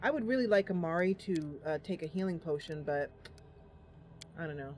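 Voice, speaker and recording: A woman's voice: on the low side, lively intonation, normal speed, a little mellow, slight background noise. A woman giving casual, chatty game commentary, thinking aloud in a playful way. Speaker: gameplay host and role-player. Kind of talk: casual game commentary with voiced role-play. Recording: game music behind. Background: game music.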